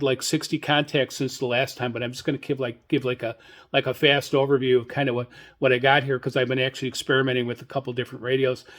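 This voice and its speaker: A man casually hosting a solo radio hobby show, talking enthusiastically in a halting, rambling way and often repeating his words. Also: mid-range voice, fairly bright tone.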